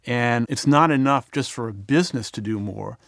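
A man's voice: medium pitch, moderate pace, creaky, dry recording, clean studio sound. A middle-aged man speaking earnestly as an interview guest, with some fillers. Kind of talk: reflective conversation.

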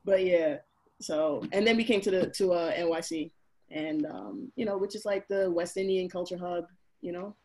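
A woman in her thirties from Barbados, a chef guest telling stories in a casual, animated way with many fillers. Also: on the low side, moderate pace. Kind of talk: casual interview chat.

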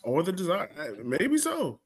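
A man's voice: sits high, huge pitch swings, unhurried, a crowd in the background. A man hosting a soccer fan podcast, giving a blunt, frustrated and casual recap. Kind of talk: casual sports recap discussion.

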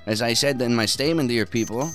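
A man's voice: medium pitch, fast, soft background music, natural voice. An actor playing a cynical, irritated son of a wealthy man in an audio drama, with a scornful, dismissive manner. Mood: guarded, wistful. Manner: scornful, dismissive, chuckling sarcasm. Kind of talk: naturalistic audio drama acting.

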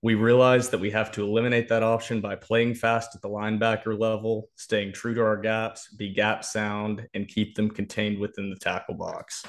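A man's voice: on the low side, flat delivery, moderate pace, few pauses. A man hosting a sports podcast, talking through a football preview in an opinionated, emphatic way. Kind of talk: football preview analysis, conversational.

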